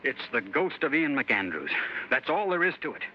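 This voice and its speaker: A man's voice: medium pitch, sing-song, moderate pace. A man playing a jumpy, credulous small-town fellow in a 1950s radio drama. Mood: uneasy. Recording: muffled, very noisy vintage radio recording.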